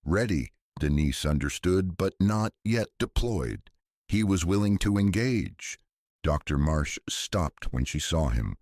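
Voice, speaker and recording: Very deep, slow male narrator. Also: expressive, darkish tone, no room sound, studio quality. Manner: measured, serious. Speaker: audiobook narrator. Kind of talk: scripted thriller narration.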